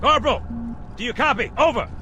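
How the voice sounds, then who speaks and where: A man playing a gruff, commanding marine sergeant in a video game cutscene. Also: very high-pitched, lively intonation, brisk, pushed and bright, a little hiss or hum, exaggerated voice. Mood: stern, impatient. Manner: barking, commanding. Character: gruff, hard-driving male marine sergeant.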